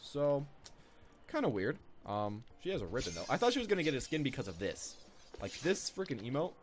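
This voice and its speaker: A man's voice: mid-range voice, big pitch swings, a little fast, a little hiss or hum. A man giving casual, off-the-cuff gaming commentary as a video host, rambling and repeating his own phrases.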